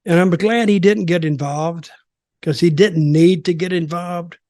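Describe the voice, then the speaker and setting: A man's voice: sits high, fairly even intonation, a little slow, darkish tone, no echo, studio quality. An older man in casual, rambling, opinionated talk about wrestling as a podcast commentator.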